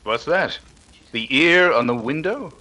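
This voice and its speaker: A man playing a cool, courteous, skeptical detective with formal, measured speech, in a 1930s-style radio drama. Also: a little high, lively intonation, pushed and bright.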